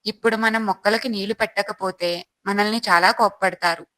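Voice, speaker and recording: A woman's voice: medium pitch, steady tone, a little fast, pushed and bright, no echo, natural voice. A woman playing a worried, earnest and upright village wife and mother in a moral storybook tale. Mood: worried, instructing.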